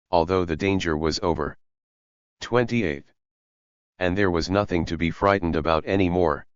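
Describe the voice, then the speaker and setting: A man narrating an audiobook in a measured, even storytelling manner. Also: low-pitched, smooth, no echo, muffled recording.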